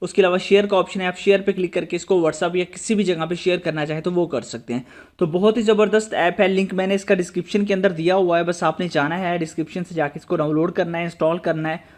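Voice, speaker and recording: A man's voice: high-pitched, steady tone, fast talker, darkish tone. A man narrating an app tutorial, addressing viewers directly with step-by-step instructions. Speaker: host of a tech tutorial channel.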